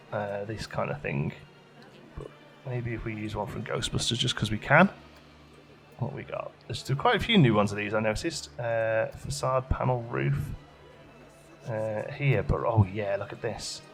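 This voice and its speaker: A man's voice: lowish voice, expressive, measured pace, gravelly, soft background music. A man giving casual gameplay commentary, chatting and musing aloud as he questions his own choices, as a let's play host.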